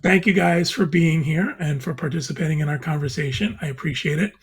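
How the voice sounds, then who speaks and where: A man hosting a casual video panel chat, introducing guests and asking questions in a friendly, steady way. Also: on the high side, fairly quick, vocal fry, slightly rough. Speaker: podcast host.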